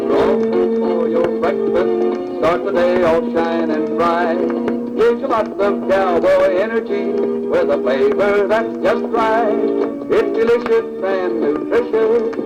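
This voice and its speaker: Slow singing man in a vintage radio jingle. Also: high-pitched, rough recording. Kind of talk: sung radio cereal jingle. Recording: vintage radio, loud music.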